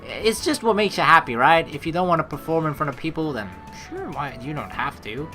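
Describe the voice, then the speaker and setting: Animated young woman with a bright voice. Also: deep voice, fairly quick, soft background music. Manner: rambling, conversational, peppered with "you know". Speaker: let's play streamer. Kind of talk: casual game commentary mixed with reading dialogue aloud.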